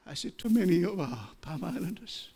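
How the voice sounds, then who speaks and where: An Australian pastor preaching with a gravelly, slightly raised voice. He moves between low, measured storytelling and loud, impassioned peaks. His words come in short, repeated phrases. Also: sing-song. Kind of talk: preaching a sermon.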